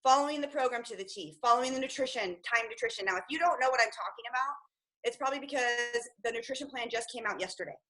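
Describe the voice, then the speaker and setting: A woman nutrition coach giving energetic, upbeat advice on a group call, often checking in with the listeners. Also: a little high, quick pace, low-quality recording.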